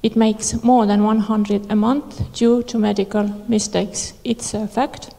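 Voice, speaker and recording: Earnest woman with gravelly hall-echo voice. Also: mid-range voice, level tone, mellow. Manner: halting, earnest, many filler pauses. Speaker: audience member asking a question. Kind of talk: emotional plea from the floor. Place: conference hall. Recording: hall reverb in a large venue.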